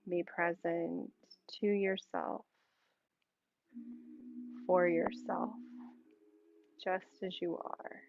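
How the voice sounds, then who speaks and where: Woman, yoga instructor, soothing meditation cues, lowish gravelly voice with vocal fry, long pauses, muffled recording. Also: soft background music. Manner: calm, soothing, with long pauses between short phrases. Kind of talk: guided meditation in a yin yoga class.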